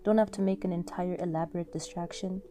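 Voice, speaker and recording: A woman plays a composed young female friend in an audio story. Her teasing is pointed but understated, in a soft dark tone over music. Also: fairly low pitch, fairly even intonation, fast, close to an everyday voice. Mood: dry teasing.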